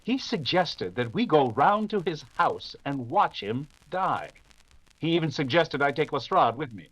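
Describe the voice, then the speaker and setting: An actor playing a confident, dismissive veteran detective in the manner of 1940s radio drama. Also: male voice, a little high, sing-song, a bit bright, full character voice, poor audio. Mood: scornful. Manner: brisk, dismissive, assured. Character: confident, dismissive veteran English detective, dryly amused and unflappable.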